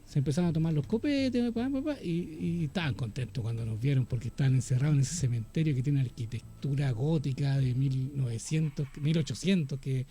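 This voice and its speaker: A man with a Chilean accent hosting a radio show, telling lively anecdotes in casual chat and laughing often. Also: mid-range voice, brisk, few pauses.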